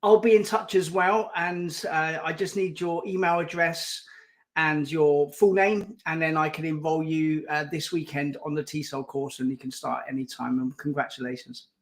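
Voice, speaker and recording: High-voiced chatty Englishman. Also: fairly even intonation, normal speed. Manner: chatty, rambling anecdotes. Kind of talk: casual chat.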